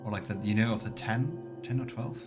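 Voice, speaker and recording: A man's voice: on the low side, fairly quick, music in the background. A man hosting a live let's play, chatting casually and thinking aloud as he comments. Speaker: streamer, let's play host.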